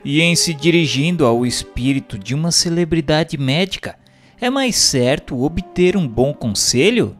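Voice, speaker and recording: A man's voice: on the high side, lively intonation, measured pace. A man reading a script as narrator and questioner, in a formal, declamatory way. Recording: long hall reverb. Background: faint music.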